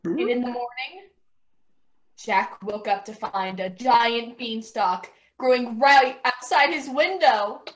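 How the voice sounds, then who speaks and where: A woman's voice: a little high, takes it slowly. A woman reading scripted storybook narration over a video call from a bedroom. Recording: noisy, echoey video call audio.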